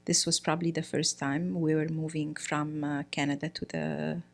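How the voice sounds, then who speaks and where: A middle-aged woman from Egypt, a guest speaking in a casual interview, often pausing with fillers and restarting her sentences. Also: sits low, level tone, creaky, faint music behind. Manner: hesitant, many fillers and restarts. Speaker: guest, priest's wife.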